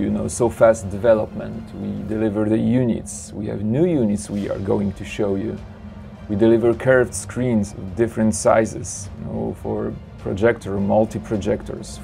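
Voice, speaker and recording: A man's voice: fairly low pitch, gravelly, dark tone. A man presents flight simulator cockpits in an upbeat, salesy way, often adding "you know" and "of course". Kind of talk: product showcase narration. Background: background music.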